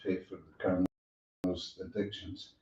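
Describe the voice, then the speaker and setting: A man's voice: sits low, flat delivery, normal speed, a little mellow. A man hosting a casual live interview chat in an easygoing, rambling way. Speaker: show host. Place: indoors at a musician's home. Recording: roomy, distant mic, dull sound.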